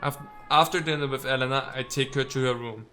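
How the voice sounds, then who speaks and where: A man's voice: mid-range voice, fairly even intonation, fairly quick, pushed and bright, faint music behind. A man doing let's play commentary, reading game text aloud and reacting with sarcasm and exasperated swearing. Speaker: let's player.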